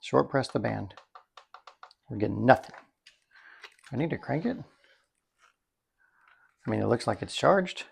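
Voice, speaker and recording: A man giving a casual, unscripted product demonstration in a folksy, wry way, thinking out loud as he goes, with a slightly gravelly voice. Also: medium pitch, expressive, unhurried, pauses often. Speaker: product reviewer.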